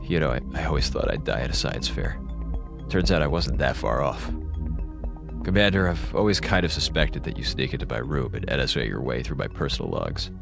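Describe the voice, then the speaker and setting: A man's voice: rumbling low, very animated, brisk, gravelly, over music, exaggerated voice. An actor playing a wry, jittery male space station officer in a radio drama, rambling informally and stammering. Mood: resigned, gloomy.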